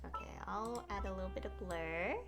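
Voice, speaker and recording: A woman's voice: mid-range voice, normal speed. A woman game streamer giving casual livestream commentary, hesitant and thinking aloud as she goes. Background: game music.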